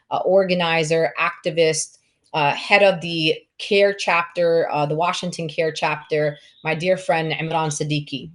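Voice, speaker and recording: Female political host, low register, impassioned and emphatic, unscripted delivery. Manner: emphatic, repeats words for emphasis. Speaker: host and moderator introducing panelists. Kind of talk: impassioned political introduction, speaking off the cuff.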